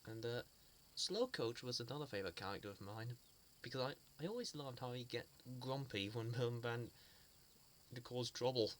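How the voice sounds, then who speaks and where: An earnest man talks at a middle pitch, stopping and restarting his sentences often and repeating words as he searches for them. His tone is fond and sincere throughout. A faint hiss sits quietly behind his voice. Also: animated, moderate pace. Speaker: fan sharing memories. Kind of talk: casual spoken reminiscence.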